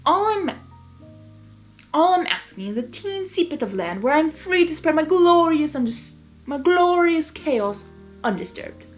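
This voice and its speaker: A woman's voice: sits high, big pitch swings, faint music behind, voice pushed up. A woman voicing a mischievous male chaos spirit from a storybook, smug and theatrical, drawing out his persuasive offers. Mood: sly, grandiose. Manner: theatrical, drawn-out persuasive delivery.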